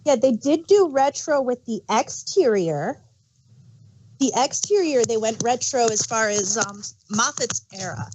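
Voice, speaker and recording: A woman's voice: a little high, expressive, a little slow, darkish tone, slight background noise, poor audio. A woman co-hosting a casual fan discussion, explaining things in a hesitant way and often correcting herself mid-thought. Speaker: podcast co-host.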